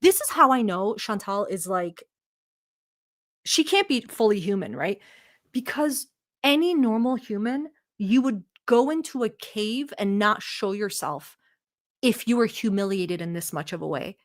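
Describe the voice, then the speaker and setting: A woman's voice: mid-range voice, lively intonation, a little slow. A woman from Turkey hosting a live stream, giving blunt, mocking and profane commentary while talking to her chat. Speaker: livestream host reacting to a video.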